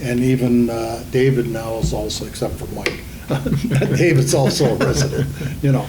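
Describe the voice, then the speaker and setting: A man's voice: mid-range voice, normal speed, noisy background. A man on a council talking to a candidate in a folksy way, checking in with okay. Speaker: council member. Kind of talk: meeting chat.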